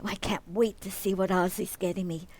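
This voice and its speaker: A woman's voice: mid-range voice, steady tone, fairly quick, darkish tone, no echo, close to an everyday voice. A woman playing an eagerly curious, chirpy, insistent housewife in an old-time radio comedy. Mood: cheerful.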